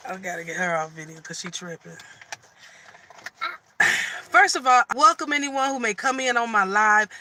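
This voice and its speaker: A middle-aged woman from Michigan with a slightly raspy voice, chatting casually on a live stream and often restarting her sentences. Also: mid-range voice, expressive, moderate pace, bright, forceful tone, slight background noise. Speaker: vlogger.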